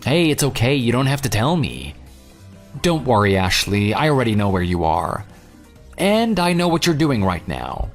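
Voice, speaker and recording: Male actor as bitter ex-fiancé, smug and taunting, lively swings in pitch, for an audio drama. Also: moderate pace, soft background music. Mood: calm, knowing. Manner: sarcastic, taunting. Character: vengeful jilted ex-fiancé, sarcastic and coldly smug. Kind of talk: dramatized phone dialogue.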